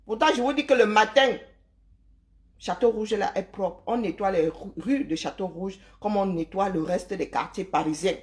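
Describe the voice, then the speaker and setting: A woman speaks straight to her live-stream viewers in a firm, lecturing monologue. Her pitch sits in the middle range, and she drives each point home with rhetorical questions and emphatic asides. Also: normal speed, a little mellow. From Cameroon.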